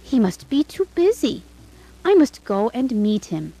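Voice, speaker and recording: An honest, loyal young shepherd from a storybook, played by a woman. The delivery is emotional and pleading, raised and swinging in pitch over faint music. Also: sing-song, a little slow, dark tone, slightly rough, full character voice, rough recording. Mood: hopeful.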